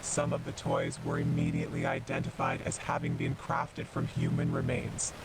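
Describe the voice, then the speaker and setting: A man playing a grave emergency broadcast announcer, reading formally in a gravelly, ominous way with a measured delivery. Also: rumbling low, completely flat delivery, very noisy, heavily acted. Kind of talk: scripted emergency broadcast narration.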